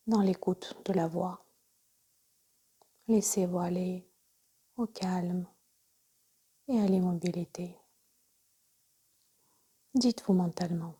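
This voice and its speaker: Slow, breathy woman guiding relaxation. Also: fairly low pitch. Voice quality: soft, breathy, often near whisper. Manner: calm, measured, instructing in short pauses. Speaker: yoga nidra instructor. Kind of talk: guided relaxation meditation.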